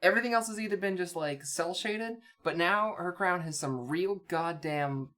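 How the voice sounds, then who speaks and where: A woman's voice: on the low side, moderate pace, a bit bright. A woman co-hosting a casual comic discussion in a blunt, mock-exasperated way, swearing freely. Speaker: co-host. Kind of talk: casual comic commentary chat.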